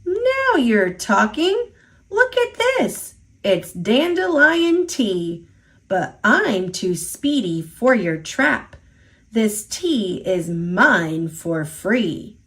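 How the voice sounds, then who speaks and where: A woman's voice: fairly high pitch, sing-song, very slow, a bit bright, exaggerated voice. A woman voicing a mischievous, boastful little old male leprechaun in a storybook, with a playful, taunting, rhyming lilt. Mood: cocky, teasing. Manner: playful, taunting, sing-song rhyming delivery.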